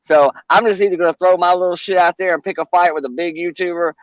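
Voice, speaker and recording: A fairly high-pitched man in his forties chats loosely on a livestream panel. He swears often and jokes at his own expense. The audio is dry, close and a bit dull. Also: steady tone, fast, no echo. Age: 40s. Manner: profane, rambling, self-mocking storyteller. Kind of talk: casual chat.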